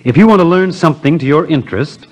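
An actor playing a brisk, wary, slangy young man who takes charge in a 1950s detective drama. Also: a little high, lightly acted. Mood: uneasy.

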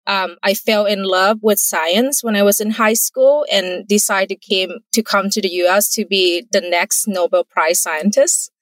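A woman's voice: mid-range voice, fairly even intonation, unhurried, few pauses, no room sound. Earnest Thai-accented woman entrepreneur. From Thailand. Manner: earnest, careful, laughs easily. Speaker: entrepreneur, guest. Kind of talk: casual interview answers.

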